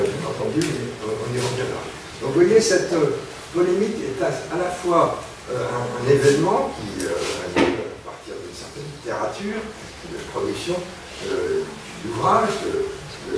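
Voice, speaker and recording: Hesitant older man lecturing in an echoey hall. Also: a little high. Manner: hesitant, many pauses and filler sounds. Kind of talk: academic lecture. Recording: echoey hall, distant mic, background noise.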